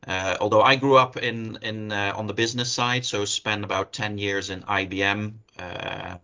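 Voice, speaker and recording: A man's voice: lowish voice, fairly even intonation, normal speed, bright, forceful tone. A man in his fifties from the Netherlands with a Dutch accent, speaking conversationally as a webinar guest. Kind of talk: conversational self-introduction.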